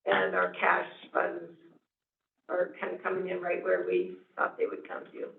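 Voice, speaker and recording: A woman's voice: fairly low pitch, monotone, normal speed, gravelly, slight background noise. A woman giving a finance report at a school board meeting, plain-spoken and thinking aloud with fillers. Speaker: school district finance officer. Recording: echoey room, far from mic, thin lo-fi line.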